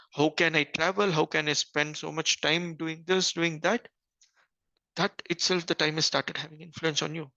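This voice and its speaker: A man giving an earnest scripture class, pausing often with fillers and restarts. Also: on the high side, vocal fry, a bit bright, dry recording. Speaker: spiritual teacher. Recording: dull lo-fi recording.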